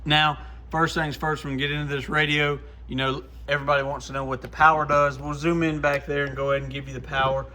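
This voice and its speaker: A man's voice: a little high, flat delivery, quick pace, bright, forceful tone, a little hiss or hum. A man presenting a casual, hands-on product demo, talking informally to viewers as a shop owner would. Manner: informal, addresses viewers as guys.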